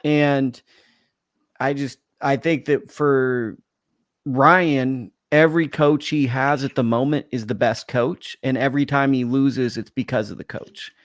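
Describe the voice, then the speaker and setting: Brief: male panelist, easygoing analyst, mid pitch, dry close recording. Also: unhurried, no echo. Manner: relaxed, analytical, reasons things out at length. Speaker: podcast panelist. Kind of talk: casual panel chat.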